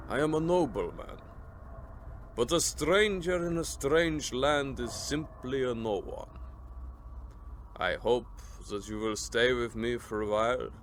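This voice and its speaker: A sinister vampire nobleman, acted by a man, speaks with ceremonious courtesy. His delivery is formal and deliberate, in radio drama style, over a faint bed of background noise. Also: medium pitch, a bit bright, lightly acted.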